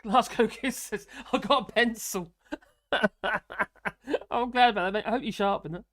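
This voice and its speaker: A man from the UK with a fairly high voice, hosting a live stream and rambling casually through viewer comments with frequent chuckles. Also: normal speed, a bit bright. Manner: rambling, self-deprecating, chuckling often.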